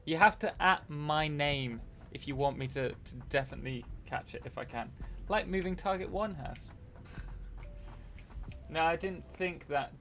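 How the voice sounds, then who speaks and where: A man's voice: a little high, fairly bright tone. Chatty young man streaming a game. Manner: chatty, rambling, reads and answers chat messages. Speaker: live-streaming game host. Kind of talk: casual live-stream chat while playing.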